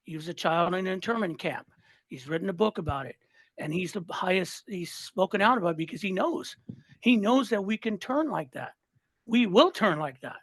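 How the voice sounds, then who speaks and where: A man's voice: high voice, expressive, normal speed, fairly bright tone, no echo. Fired-up guest in his sixties, talks in bursts and restarts, dry close sound. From Southern California. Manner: fired up, repeats and restarts words. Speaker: listener guest. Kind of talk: casual political chat.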